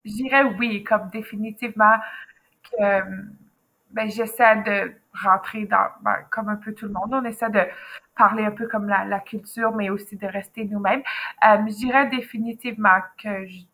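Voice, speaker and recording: A woman teacher with a softened Québécois accent, chatting casually as an interview guest, full of fillers and restarts. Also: mid-range voice, fairly even intonation, moderate pace, low-quality recording. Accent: Québécois French, softened. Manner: chatty, many fillers and restarts, trailing off into asides. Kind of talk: casual chat.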